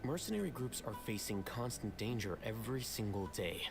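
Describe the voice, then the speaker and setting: Game scholar: lowish voice, composed, self-assured, formal delivery, loud music underneath. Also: male voice, very animated, creaky. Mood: cool, matter-of-fact. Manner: measured, articulate, formal. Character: calm, proud male scholar and strategist, measured and self-assured. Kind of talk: scripted video game dialogue.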